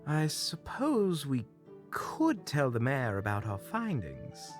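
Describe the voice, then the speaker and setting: A man acts out an earnest, cautious hunter who plans things out in an audio drama. He speaks slowly and formally, with very expressive pitch, over faint music. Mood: thoughtful. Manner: measured, formal, deliberate. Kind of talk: audio roleplay acting.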